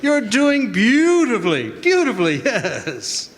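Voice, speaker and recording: A man playing a kindly, jolly old Santa Claus in a stage radio drama, coaxing and fond with halting speech. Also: very high voice, wildly swooping pitch, exaggerated voice. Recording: echoey hall stage recording.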